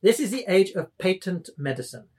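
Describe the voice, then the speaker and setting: A man's voice: high-pitched, big pitch swings, a little fast, darkish tone, slightly rough, exaggerated voice. A man acting a drunken young poet, rambling, philosophising and defiant, in a dramatic table reading. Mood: nervous, defiant.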